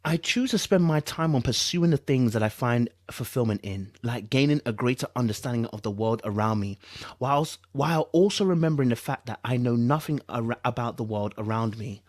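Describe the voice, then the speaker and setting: A man's voice: mid-range voice, animated, fairly quick, dry recording, clean studio sound. A British man doing casual online commentary, reading aloud dramatically and repeating phrases for emphasis in a teasing, incredulous way.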